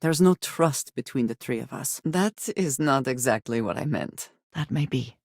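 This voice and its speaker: An actress playing a guarded, solemn woman spacefarer in a video game, speaking in measured, formal phrases. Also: rumbling low, animated, a little mellow, dry recording, studio quality, full character voice. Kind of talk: video game character dialogue.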